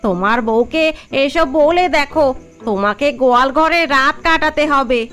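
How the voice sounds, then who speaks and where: A sly, sharp-tongued young village wife in a cartoon, voiced by a woman, with a high voice. Faint music plays behind her teasing. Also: moderate pace. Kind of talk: cartoon dubbing.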